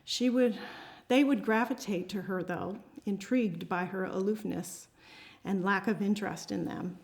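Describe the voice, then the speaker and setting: Woman, amateur author presenting her own writing aloud; medium pitch. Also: steady tone, normal speed, gravelly. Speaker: amateur writer reading at an open mic. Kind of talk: reading own short story aloud to a group.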